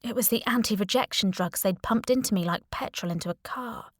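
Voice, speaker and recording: Woman, audiobook narration, first-person fiction, wry, expressive, medium pitch, dry recording. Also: a little fast, no echo.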